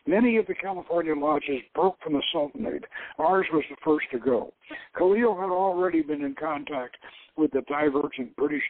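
A man voices a former priestess of an occult lodge telling her confessional story in a dramatic reading. The pitch sits high and the delivery is measured and deliberate. It is a dry recording with a narrow, phone-like radio sound. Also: no room sound. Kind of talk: dramatic novel reading, first-person narration.

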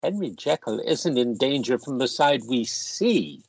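A man plays a grave, philosophical gentleman in a radio drama. He speaks slowly and solemnly in a husky, creaky voice. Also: medium pitch, very animated, no echo, heavily acted, rough recording. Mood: grave foreboding. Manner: solemn, measured. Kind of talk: radio play acting.

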